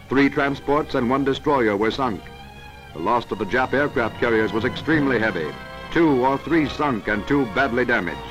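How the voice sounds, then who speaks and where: A man's voice: medium pitch, a bit bright, dull audio. An American man narrating a scripted wartime newsreel in a dramatic, declamatory, patriotic manner, on a vintage 1940s soundtrack.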